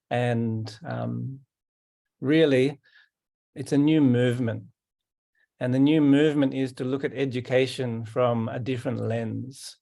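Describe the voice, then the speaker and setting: A man hosting an online meeting, welcoming each participant calmly and often repeating his words. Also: mid-range voice, creaky. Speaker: host. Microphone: video call.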